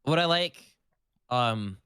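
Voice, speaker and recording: A man's voice: medium pitch, expressive, slow pace, pushed and bright, smooth, dry recording, clean studio sound. A man in casual livestream chat as co-host, rambling with lots of "like" and casual swearing. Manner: rambling, heavy use of "like"; casually foul-mouthed.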